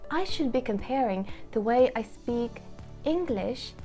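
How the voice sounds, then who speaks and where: A woman English teacher speaks in an earnest, reflective way, addressing the viewer directly. Her voice sits somewhat high, and soft music plays faintly underneath. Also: lively intonation, unhurried. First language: Russian. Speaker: English teacher, course founder. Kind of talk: scripted promotional talk to camera.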